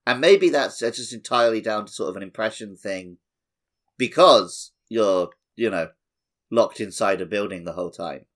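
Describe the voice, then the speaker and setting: Male podcast co-host, fairly low voice, relaxed-format conversational game talk. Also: normal speed. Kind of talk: casual game discussion.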